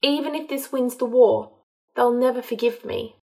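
A woman's voice: fairly high pitch, normal speed, lightly acted. A woman voicing a drunk, bitter young witch in an audiobook, defiant, sweary and emotional. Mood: bitter, tearful. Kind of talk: dramatised audiobook narration.

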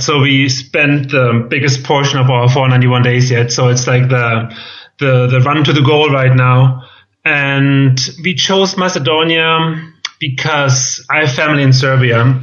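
A man in his thirties with a German accent, chatting as an interview guest. He talks haltingly, with ums and restarts along the way. The sound is dull and lo-fi. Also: mid-range voice, fairly bright tone. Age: 30s. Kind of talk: casual interview chat.

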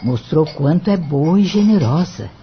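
An actress playing a gentle mother of a grown son, speaking tenderly in a radio drama. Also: deep voice, expressive, a little hiss or hum. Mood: tender, moved. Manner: tender, unhurried. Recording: dull, lo-fi radio recording.

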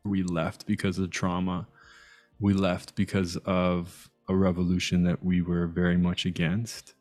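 A man's voice: low-pitched, completely flat delivery, a little slow, darkish tone, soft background music. A man from Iran who grew up in Canada, speaking as an interview guest in a thoughtful, searching way with hesitant phrasing. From Iran, raised in Canada. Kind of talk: reflective conversation.

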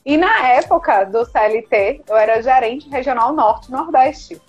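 A woman's voice: high voice, animated, normal speed, breathy edge, muffled recording, soft background music. A woman from Recife with a mixed northeastern Brazilian accent, speaking as a podcast guest in an earnest, motivational way over a video call. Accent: mixed northeastern Brazilian Portuguese. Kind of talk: casual interview talk.

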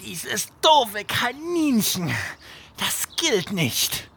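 A man's voice: very high voice, big pitch swings, very slow, gravelly, fairly bright tone, a little hiss or hum, pitched above the performer's own voice, heavily acted. A man voicing a cocky schoolboy bully and sore loser, taunting and grumbling in a children's bedtime audio story. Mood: sulky, cursing.

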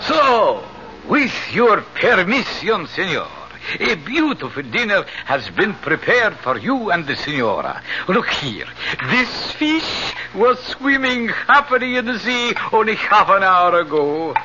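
Bright-voiced, flowery resort servant. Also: male voice, high-pitched, sing-song, takes it slowly, dull audio, heavily acted. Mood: courteous, proud. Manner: formal, flowery, deferential. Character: courteous, florid male resort servant, proud of his island. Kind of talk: radio drama acting. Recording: band-limited 1970s radio broadcast, faint noise.

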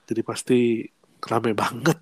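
A man's voice: medium pitch, measured pace, breathy edge, dry recording. A young man with a Jakarta accent, co-hosting a podcast in casual chat, his voice a little nasal from a cold and full of fillers. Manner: relaxed slangy Jakarta chatter with frequent fillers. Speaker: podcast co-host.

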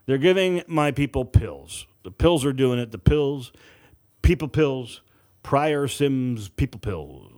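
A man's voice: medium pitch, big pitch swings, slow pace, darkish tone. A man voicing a flirtatious, sardonic woman nurse in an audiobook, with teasing, emphatic delivery. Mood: insistent. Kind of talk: audiobook character dialogue.

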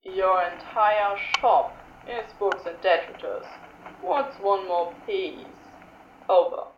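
A woman lowers her voice to play a lazy, teasing male demon who drawls and lounges through every line. He sounds low and unhurried, slow and drawn out, with a loose, playful swing to his phrasing. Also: echoey room, dull audio, slight background noise, close to an everyday voice. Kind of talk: podfic character voice.

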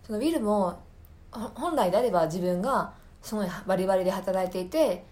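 Woman podcaster just chatting solo, mid pitched voice, kinda thoughtful, explains stuff and feels around for the right words. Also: lively intonation, quick pace, a little mellow. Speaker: podcast host. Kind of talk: casual solo recommendation talk.

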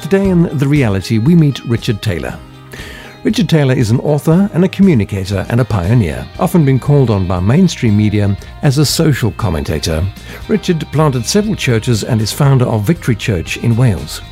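Expressive male radio show host. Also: medium pitch, a little fast, faint music behind. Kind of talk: scripted radio introduction.

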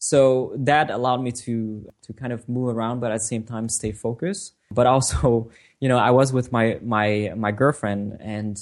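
A man whose first language is French, giving casual interview answers as a designer and guest, often pausing and repeating words as he thinks. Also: mid-range voice, fairly even intonation.

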